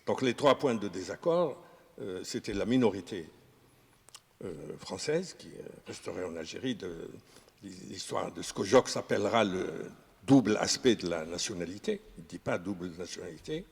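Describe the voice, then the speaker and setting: Husky-voiced man recalling history haltingly. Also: low-pitched, lively intonation. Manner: halting, many fillers and restarts. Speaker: historian guest. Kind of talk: unscripted expert discussion. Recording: reverberant room.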